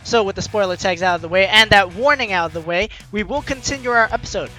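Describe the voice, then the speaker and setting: A young adult host doing casual, playful game commentary and theatrically reading the dialogue aloud in an excitable way. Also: medium pitch, fast, rough recording. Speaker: let's play host. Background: faint game music.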